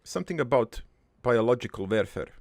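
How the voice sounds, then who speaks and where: A man playing a gruff, crude army veteran turned prankster, telling his regretful life story in a halting, matter-of-fact ramble for an improvised cartoon monologue. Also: medium pitch, expressive, darkish tone, dry recording, natural voice. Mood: somber, weary.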